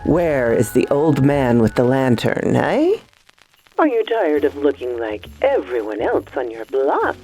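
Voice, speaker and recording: Slow, low-voiced theatrical woman host over music. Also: warm tone, low-quality recording. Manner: slow, dramatic, teasing. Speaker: host and narrator. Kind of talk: theatrical horror-host narration.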